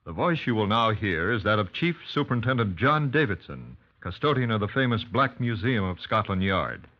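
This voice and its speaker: A man's voice: fairly low pitch, sing-song, moderate pace. A man announcing from a script in formal 1950s radio style. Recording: noisy, dull 1950s radio recording.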